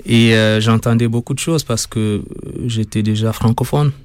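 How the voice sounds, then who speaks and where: A man in his thirties from Sudan, a guest author speaking slowly and earnestly in a radio interview, with many pauses. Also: on the low side, steady tone, darkish tone, clean tone, dry recording. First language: Arabic. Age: 30s.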